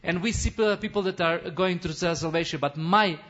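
A man who is a missionary pastor, preaching an impassioned testimony sermon in non-native English, building to shouts, with frequent restarts. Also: high-pitched, fairly quick. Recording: dull lo-fi recording.

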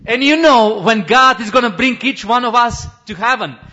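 A man who is a missionary pastor, preaching an impassioned testimony sermon in non-native English, building to shouts, with frequent restarts. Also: very high-pitched, moderate pace. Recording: dull lo-fi recording.

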